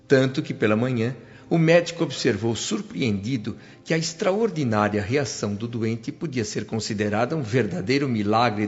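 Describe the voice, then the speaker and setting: A man narrating a radio drama in the first person as an earnest spirit, with a Brazilian accent and a solemn, deliberate, literary delivery. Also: mid-range voice, a little mellow, lightly acted. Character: earnest male spirit narrator recounting his own learning. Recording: dull lo-fi audio, long hall reverb. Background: background music.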